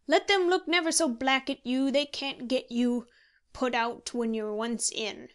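A woman's voice: fairly high pitch, fairly even intonation, takes it slowly. A woman voicing an argumentative, scornful old male pensioner with emphatic rustic speech in an audiobook story. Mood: arguing.

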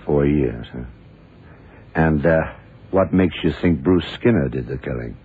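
An actor playing a gruff middle-aged FBI investigator in a radio drama, questioning in a dry, deliberate, probing way. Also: male voice, a bass voice. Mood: probing, suspicious. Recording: muffled, noisy 1960s radio drama recording.

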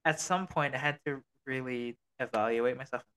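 A man's voice: medium pitch, moderate pace, a bit bright, smooth. Casual young man, chatty podcast co-host. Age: early 20s. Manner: informal, swears casually, peppers talk with "like" and "you know". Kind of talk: casual chat.

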